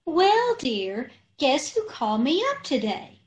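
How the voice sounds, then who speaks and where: A woman playing a high, slow-talking, scatterbrained radio wife. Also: big pitch swings, full character voice. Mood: innocent, chatty. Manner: earnest malapropisms, unhurried. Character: scatterbrained, breezy middle-aged wife, cheerfully illogical. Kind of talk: old-time radio comedy acting.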